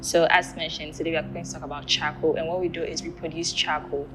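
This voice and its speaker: A woman's voice: deep voice, level tone, fast, over music, poor audio. A woman company founder introducing herself and her business, matter-of-fact. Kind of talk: introduction pitch.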